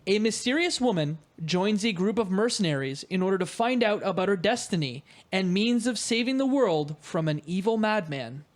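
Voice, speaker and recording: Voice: mid-range voice, lively intonation, moderate pace, hardly any pauses. A podcast host chatting casually in a panel about films and games, with a playful, mildly sarcastic manner.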